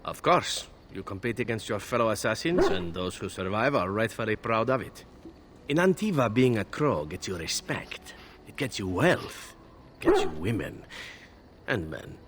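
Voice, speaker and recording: An actor playing a flirty, roguish elf assassin in a video game, talking in a suave, wry, theatrical way. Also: male voice, on the low side, very animated, normal speed, background noise. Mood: wry, nonchalant. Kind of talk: video game character acting.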